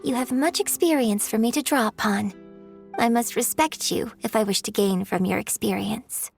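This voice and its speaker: Elegant, higher-pitched young opera performer. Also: female voice, moderate pace, vocal fry, darkish tone, faint music behind. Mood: courteous, earnest. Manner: polite, formal, elegant phrasing. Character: refined, courteous young woman opera performer, graceful and curious. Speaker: game character voice. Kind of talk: scripted video game dialogue.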